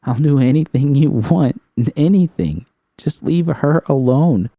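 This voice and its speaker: A man voicing a desperate, frightened young woman in an audiobook, with halting, pleading delivery. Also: on the high side, lively intonation, mellow, dry recording, voice pushed up. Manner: halting, stammering pleas. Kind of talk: dramatic audiobook character reading.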